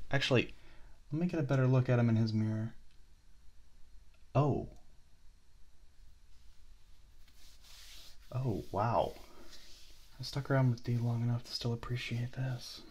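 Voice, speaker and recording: A man voicing a smitten, possessive spirit in a young man's body, breathy and stammering, in an intimate ASMR roleplay. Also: medium pitch, moderate pace, pauses often, darkish tone, a little hiss or hum, close to an everyday voice. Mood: awestruck, adoring. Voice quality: breathy, often dropping to whispers. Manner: awestruck, stammering, eager.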